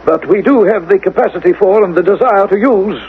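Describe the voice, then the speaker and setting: A man playing a grave, commanding ruler of a future world in radio drama, speaking formally and with measured authority. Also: sits high, husky. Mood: coldly commanding. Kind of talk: 1980s radio drama acting. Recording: narrow-band old radio recording, faint noise.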